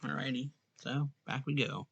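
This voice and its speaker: Gravelly man doing casual game commentary. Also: mid-range voice, moderate pace, a bit bright, lo-fi sound. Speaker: game streamer reacting to story.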